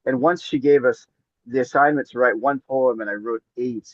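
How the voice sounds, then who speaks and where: A man from northern Maine, a poet guest, answering questions in a measured, hesitant way with many pauses. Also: mid-range voice, no room sound, dull audio. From northern Maine, United States. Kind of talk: interview answers.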